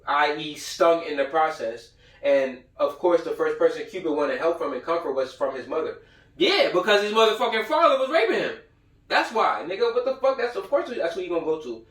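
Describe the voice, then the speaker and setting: A man's voice: on the high side, a bit bright. Echoey, distant man lecturing bluntly in slang. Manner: blunt, profane, slangy, repeats himself for emphasis. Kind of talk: informal lecture with read-aloud passages and commentary. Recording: roomy echo, far from the mic.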